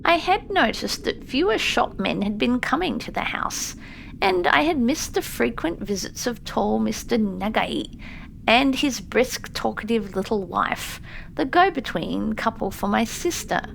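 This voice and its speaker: High-voiced woman narrating an audiobook. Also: expressive, a little slow, vocal fry, a little hiss or hum, low-quality recording. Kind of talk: scripted literary audiobook narration.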